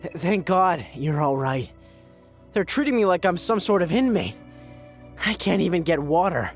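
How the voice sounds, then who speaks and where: An actor playing a bitter, ailing oil rig worker held in quarantine in a horror audio drama. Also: male voice, high-pitched, very animated, a little slow, soft background music, full character voice. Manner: bitter, agitated, profane. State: coughing, ill.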